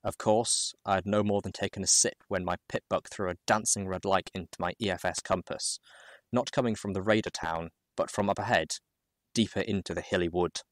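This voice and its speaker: A man reading a first-person story aloud as a dramatic audiobook narrator, with measured phrasing and deliberate pauses. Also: low voice, steady tone, fairly bright tone, no room sound, studio quality.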